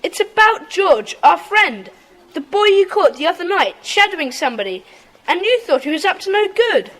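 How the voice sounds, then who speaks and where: A woman playing a decisive schoolboy club leader with a brisk, take-charge manner in a children's audio drama. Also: very high voice, very animated, fairly bright tone, full character voice, poor audio. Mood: keen.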